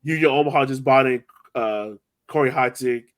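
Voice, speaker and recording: A man's voice: mid-range voice, moderate pace. A man in casual sports podcast chat, rambling along with lots of "like" and "you know". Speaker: soccer podcast host.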